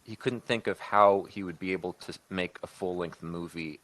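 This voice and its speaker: A man's voice: sits low, fairly bright tone. A man on a podcast talking casually through a story idea as a co-host, often backtracking and rewording as he goes. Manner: halting, often backtracking and rewording mid-sentence. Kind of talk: casual creative discussion, improvised story pitch.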